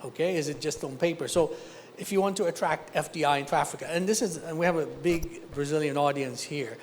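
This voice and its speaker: A man's voice: fairly high pitch, a little fast, a little hiss or hum. A man originally from Ethiopia, speaking as an investor on a conference panel and explaining his points through concrete, practical examples. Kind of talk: panel discussion answer.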